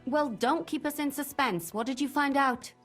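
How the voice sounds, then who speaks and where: Woman playing high-voiced, impassioned medieval woman. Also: moderate pace, soft background music, lightly acted. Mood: impatient. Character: impassioned, insistent medieval woman seeking a murderer. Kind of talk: video game cutscene acting.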